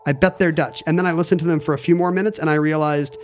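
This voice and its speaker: A man's voice: fairly high pitch, steady tone, quick pace, slight background noise. A man co-hosting a podcast, bantering and telling stories in a playful, joking way. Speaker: podcast co-host. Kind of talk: casual banter and storytelling.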